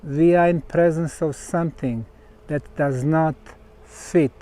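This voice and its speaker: Man, slightly high pitch, very unhurried pace, pauses between short phrases, researcher presenting. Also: dark tone. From Bosnia. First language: Bosnian. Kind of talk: explanatory talk to camera on location.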